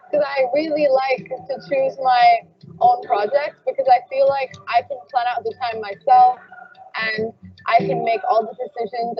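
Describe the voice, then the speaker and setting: High-voiced teen girl student. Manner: casual, reflective. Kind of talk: interview answers. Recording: dull lo-fi audio with music.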